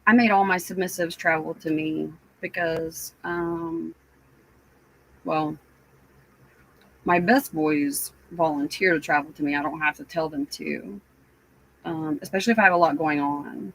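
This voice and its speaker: A woman live streamer chatting casually with her viewers, sounding stuffy and raspy, rambling with lots of fillers. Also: deep voice, steady tone, a bit bright, low-quality recording. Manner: slow, rambling, many fillers.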